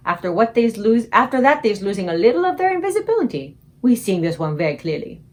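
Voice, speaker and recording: A woman voicing an excitable, kindly old male giant who mangles grammar and invents words. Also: medium pitch, fast, natural voice. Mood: agitated.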